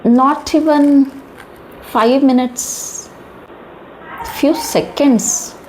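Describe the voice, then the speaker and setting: A woman with an Indian accent delivers news commentary at a slow, deliberate pace. She speaks in short, emphatic phrases and leans on rhetorical questions. There is some background noise in the recording. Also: high voice, a little mellow, poor audio. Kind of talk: informal news commentary to viewers.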